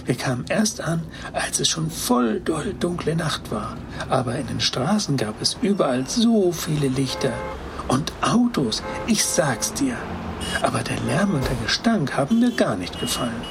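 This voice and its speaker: A man voicing a young, earnest female dog who tells a story in a childlike, excited way, as in a children's storybook. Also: on the high side, a little slow, creaky, over music, heavily acted. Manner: childlike, excited storytelling with naive colloquial words. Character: Gretine, young female toy-rescuing dog, earnest and compassionate.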